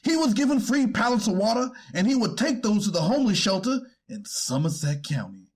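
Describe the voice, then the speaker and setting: A man's voice: high voice, big pitch swings, slightly rough, exaggerated voice. A man playing a defense attorney pleading formally and deferentially for his client in a courtroom transcript reading. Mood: deferential, earnest. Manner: deferential, formal, halting argument.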